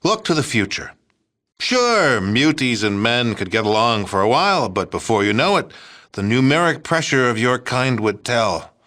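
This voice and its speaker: An actor playing a fanatical American president in a video game, speaking with folksy, righteous, patronizing political oratory in an American accent. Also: male voice, medium pitch, very animated, moderate pace, bright, forceful tone. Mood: righteous, unyielding. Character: fanatical, self-righteous American president plotting mass extermination. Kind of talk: video game villain dialogue.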